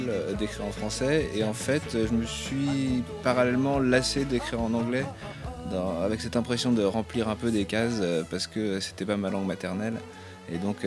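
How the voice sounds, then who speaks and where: A man with a fairly low voice answers questions thoughtfully, hesitating and restarting his sentences often. Music plays clearly behind him throughout. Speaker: singer-songwriter interviewed about his album. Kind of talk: casual interview answers.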